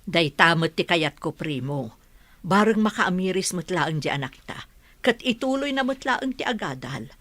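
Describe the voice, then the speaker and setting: A woman's voice: fairly low pitch, expressive, moderate pace, a bit bright, dry recording. A woman acting a concerned, wistful middle-aged mother in an audio drama.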